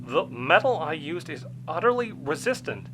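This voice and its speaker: A man's voice: on the high side, very animated, moderate pace, music in the background, voice pushed up, low-quality recording. A man voicing an anxious, stuttering rocket scientist in an audio story. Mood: urgent. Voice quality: stammering. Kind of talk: audio story character acting.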